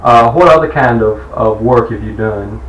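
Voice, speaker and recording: A man's voice: mid-range voice, normal speed, fairly bright tone. A man interviewing, asking questions with fillers and restarts. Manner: hesitant, many fillers and restarts as he asks. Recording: roomy, from far off the mic.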